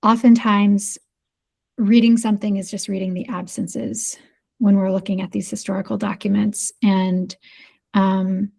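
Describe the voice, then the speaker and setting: A woman's voice: medium pitch, little pitch movement, a little slow, vocal fry, dark tone. A woman in casual podcast conversation, speaking thoughtfully and hesitantly with many fillers. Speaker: podcast guest.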